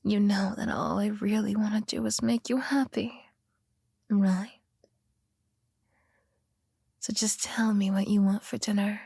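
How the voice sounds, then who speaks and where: A woman performs a possessive yandere girlfriend for an ASMR roleplay. Her voice is breathy and often slips into whispers, very slow and intimate in a dry, close sound. She is coaxing and sweet, with soft menace underneath. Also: mid-range voice, level tone, no room sound. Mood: sweetly controlling. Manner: slow, coaxing, softly threatening.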